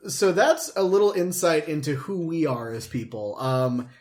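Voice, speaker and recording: A man with a fairly high voice chats as a podcast co-host. He banters casually, in a jokey and exclamatory manner. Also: normal speed.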